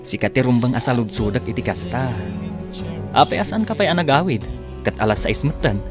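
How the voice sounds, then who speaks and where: Guy acting ilocano young man in love, medium pitch, earnest n coaxing, music clearly under him. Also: big pitch swings, fairly quick, lightly acted. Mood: friendly. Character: lovestruck, insistent young male suitor. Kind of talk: Ilocano radio drama acting.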